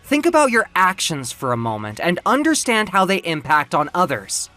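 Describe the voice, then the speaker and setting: A man playing an angry, domineering former friend in an audio comic drama, scolding and forceful. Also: high-pitched, wildly swooping pitch, moderate pace, soft background music, pitched above the performer's own voice, full character voice.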